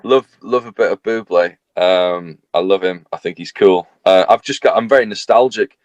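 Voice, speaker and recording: Low-voiced Northern English man, rambling. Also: moderate pace, dry recording, lo-fi sound. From north of England. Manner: rambling, self-deprecating, chatty with many fillers. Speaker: singer-songwriter guest. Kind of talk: casual interview chat.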